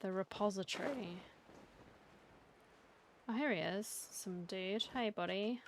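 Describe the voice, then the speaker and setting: A woman's voice: medium pitch, slow, slight background noise. A woman giving casual playthrough commentary as a streamer, with chatty asides and game text read aloud. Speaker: gaming streamer.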